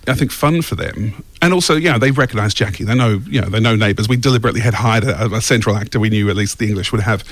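A man's voice: lowish voice, quick pace, few pauses, no room sound. A middle-aged man with an Australian accent, chatting casually as an interview guest. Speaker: guest, audio drama creator. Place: radio studio.

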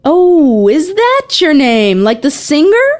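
A woman's voice: medium pitch, huge pitch swings, a little slow, full character voice. A woman voicing an easygoing, friendly man in a story, with a teasing manner.

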